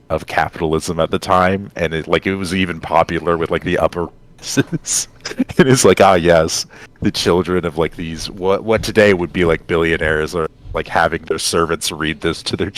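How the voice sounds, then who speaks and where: A man's voice: very deep voice, steady tone, normal speed, a bit bright. A man co-hosting a podcast in casual conversation, explaining things in a rambling, digressive way. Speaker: podcast co-host.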